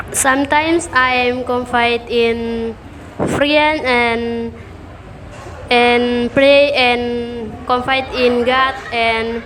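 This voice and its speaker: A teenage girl, a nursing student guest, speaking halting second-language English. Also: a little high, fairly bright tone, a little hiss or hum. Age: teens.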